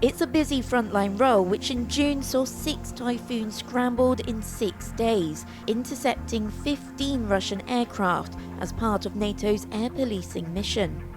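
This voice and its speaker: A woman's voice: on the high side, unhurried, few pauses, over music. A British woman reading scripted narration as a news reporter.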